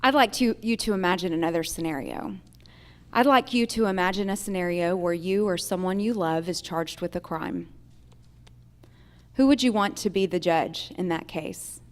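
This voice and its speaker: A woman with an American accent making a deliberate, rhetorical appeal to voters as a judicial candidate at a forum. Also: on the low side. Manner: rhetorical, deliberate repeated questions. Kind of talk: political forum closing statement. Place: live in a theater.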